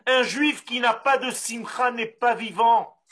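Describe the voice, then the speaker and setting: A man from Israel with an Israeli accent, a Hebrew native speaker giving a Torah lesson in French, animated and full of rhetorical questions. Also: very high-pitched, unhurried. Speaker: rabbi.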